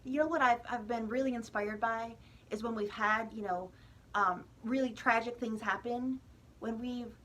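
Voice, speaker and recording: A woman's voice: fairly high pitch, fairly bright tone, slight background noise, poor audio. An American woman vlogger giving a casual opinion monologue to viewers, chatty and emphatic with exasperated asides.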